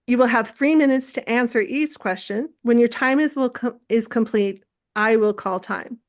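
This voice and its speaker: A woman's voice: a little high, normal speed. A woman who chairs a community council, moderating an interview and reading a scripted introduction.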